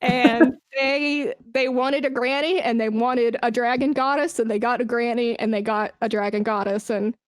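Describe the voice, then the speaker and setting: A woman's voice: a little high, fairly even intonation, moderate pace, hardly any pauses, no room sound. A woman speaking as an interview guest in casual, informal conversation, telling things with slangy asides. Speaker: podcast guest, esports brand manager.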